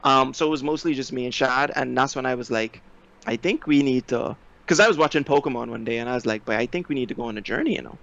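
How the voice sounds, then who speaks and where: A man in his thirties with a Bahamian accent, a guest telling stories in an animated, slangy way and acting out the quotes. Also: mid-range voice, fast, gravelly. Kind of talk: casual storytelling chat. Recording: dry, slightly dull lo-fi audio.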